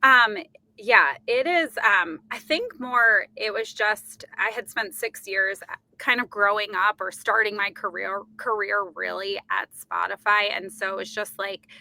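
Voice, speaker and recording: A woman's voice: medium pitch, unhurried, vocal fry, bright, forceful tone, poor audio. A woman in her thirties from New York with a New York accent, answering interview questions fluently with frequent fillers and restarts. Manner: fluent, many fillers and self-corrections. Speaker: guest, company executive. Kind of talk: podcast interview.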